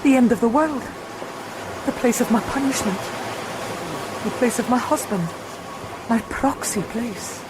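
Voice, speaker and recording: A woman's voice: on the high side, measured pace, long pauses, heavy background noise, natural voice. A woman playing a brooding, bitter mother's inner voice in a literary radio drama, speaking in incantatory fragments. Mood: bleak, foreboding. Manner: clipped fragments, incantatory listing.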